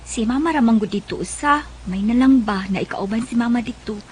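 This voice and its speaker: A woman's voice: on the high side, fairly quick, darkish tone, breathy edge. A woman playing a friendly, persuasive neighbour with a Cebuano Bisaya accent and a coaxing manner in a radio drama.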